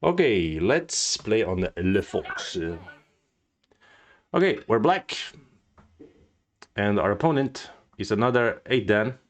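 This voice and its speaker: Male go streamer, lowish voice, stuffy nose, muses aloud and quizzes himself while solving problems. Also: sing-song, slow, long pauses. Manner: thinks aloud, musing and casual, asks himself questions. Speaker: go teacher and streamer.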